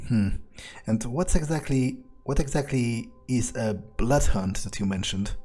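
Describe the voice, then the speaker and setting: A man role-playing a cynical vampire underling in a video game playthrough, with a husky voice and a halting, muttering manner. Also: mid-range voice, expressive, dark tone, soft background music, natural voice. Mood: wary, curious. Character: cynical, foul-mouthed, hesitant male vampire underling. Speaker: player role-playing his game character. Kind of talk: improvised in-character game playthrough.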